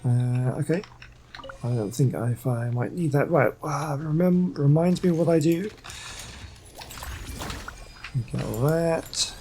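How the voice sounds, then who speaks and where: A man's voice: on the high side, fairly even intonation, takes it slowly, long pauses, mellow, soft background music. A man giving casual gameplay commentary, muttering to himself as he plays. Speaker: gaming video host.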